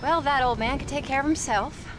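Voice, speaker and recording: A woman as a sharp-tongued frontier mother. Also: high voice, brisk, loud music behind the voice, lightly acted, poor audio. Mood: dry. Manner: sarcastic. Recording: game cutscene with noise behind.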